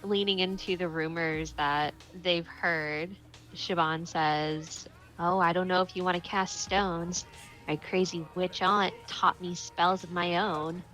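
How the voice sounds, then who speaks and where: A woman's voice: on the low side, fairly even intonation, slow, fairly bright tone, faint music behind, low-quality recording. A woman playing a tabletop game, chatting casually and bluntly about her character's moves.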